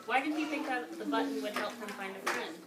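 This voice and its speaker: A woman's voice: on the high side, a little fast. A woman working as a preschool teacher, talking to young children in simple, instructive phrases with repetition. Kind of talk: reading a picture book aloud and questioning young children. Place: classroom, indoors. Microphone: phone camera at a distance. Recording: roomy, distant phone video, loud background noise.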